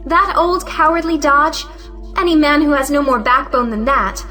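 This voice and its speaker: Woman playing spirited young lady, high-pitched, bright forceful tone, frank, dignified, period comedy audio play. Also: steady tone, moderate pace, soft background music. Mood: proud, scornful. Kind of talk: audio play acting of a 1910s stage comedy.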